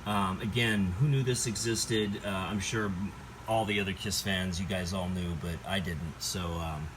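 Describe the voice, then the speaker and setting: A man's voice: low voice, fairly even intonation, unhurried. A man giving a casual, rambling unboxing commentary with wry asides, as a collector showing off a toy. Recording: noisy homemade video recording.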